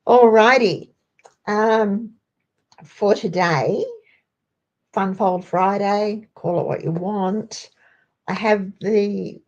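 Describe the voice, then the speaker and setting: A woman hosting a casual live crafting tutorial, chatty and rambling, with a croaky voice today. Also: fairly low pitch, darkish tone. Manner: chatty, rambling, greets viewers by name. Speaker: crafting host.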